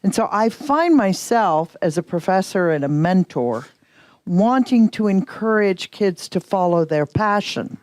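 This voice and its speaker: A middle-aged American woman, a professor, speaks on a conference panel in a voice that sits at an ordinary middle pitch. She is candid and thinks aloud, restarting her sentences. The sound is close and dry, with no room echo. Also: sing-song, measured pace, a little mellow. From United States. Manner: candid, restarts and self-corrections. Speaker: professor and mentor. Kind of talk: panel discussion.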